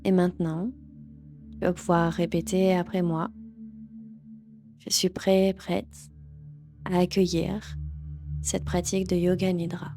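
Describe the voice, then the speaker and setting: A woman teaching yoga nidra, leading a guided relaxation in a gentle, soothing, instructional manner. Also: on the low side, flat delivery, takes it slowly, long pauses. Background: background music.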